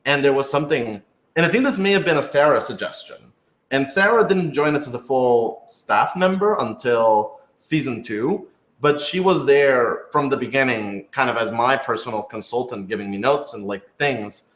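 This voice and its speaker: Man, mid pitch, casual Q&A host, hesitant and hedging, recorded in a roomy, echoing space. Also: animated, fairly bright tone. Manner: halting, hedging, thinks aloud. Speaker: podcast creator and writer reading fan questions. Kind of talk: casual Q&A discussion. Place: indoor room with echo. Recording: roomy, echoing room sound.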